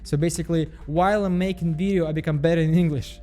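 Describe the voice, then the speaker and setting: Man from Belarus, self-help vlogger, higher voice, soft tone, faint music bed. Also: steady tone. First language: Russian. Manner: halting, repeats words, frequent fillers. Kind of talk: casual self-help vlog monologue.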